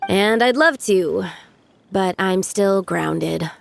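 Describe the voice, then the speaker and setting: A woman's voice: medium pitch, slow, creaky, a little hiss or hum. A woman playing an earnest, eager young village woman in a video game, speaking expressively. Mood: resigned. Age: young adult. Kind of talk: video game character acting. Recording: loud music behind the voice. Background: game music.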